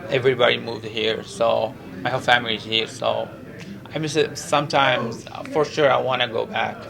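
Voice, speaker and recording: Gravelly Moroccan-accented male fighter. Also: medium pitch, steady tone, moderate pace, crowd noise. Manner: earnest, repetitive, non-native English with frequent 'you know'. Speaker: professional MMA fighter. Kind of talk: press interview, casual chat.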